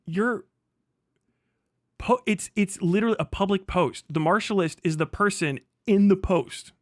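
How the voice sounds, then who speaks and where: A man's voice: high voice, unhurried, dry recording, studio quality. A man hosting a livestream, ranting vehemently with heavy swearing as he reacts to and reads out messages. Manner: profane, agitated, vehement. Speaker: livestream host. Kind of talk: livestream reaction rant, reading messages aloud.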